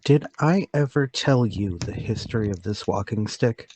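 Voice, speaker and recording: A man playing a timid, polite, secretly excited lodger in a radio-play table read, speaking hesitantly and repeating himself. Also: lowish voice, normal speed, a little hiss or hum, natural voice. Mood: quietly excited.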